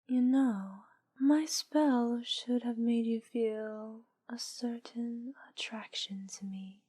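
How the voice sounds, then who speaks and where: A woman playing a teasing, controlling witch in an intimate audio roleplay, breathy and deliberate, often dropping into whispers. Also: on the high side, mellow. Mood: coy, teasing. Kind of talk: intimate roleplay audio drama, hypnosis ASMR.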